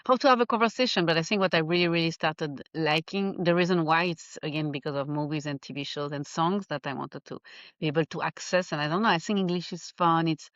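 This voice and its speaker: A woman from Geneva with a Swiss French accent, a life coach chatting in an interview and often pausing to find her words. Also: deep voice, no echo, highs cut off. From Geneva, Switzerland. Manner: animated, laughs often, searches for words. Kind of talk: casual interview chat.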